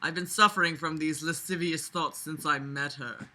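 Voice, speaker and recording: Woman, very bright and piercing voice, casual read-aloud, mocking asides, sarcastic, exasperated, swears freely. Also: low-pitched, fairly even intonation, moderate pace, slight background noise. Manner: sarcastic, exasperated, foul-mouthed. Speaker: host reading a novel aloud with commentary.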